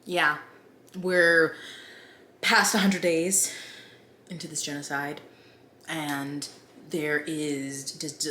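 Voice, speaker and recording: A woman's voice: sits low, flat delivery, drawn-out words, long pauses, pushed and bright. An American woman chatting casually to her viewers as a book vlogger, informal, conversational and opinionated. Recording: echoey room with faint background noise.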